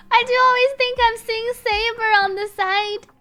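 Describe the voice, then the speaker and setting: A woman's voice: very high voice, fairly even intonation, a little slow, sharp tone, faint music behind. A woman game streamer with a chatty, giggly manner, casually reacting and reading subtitles aloud.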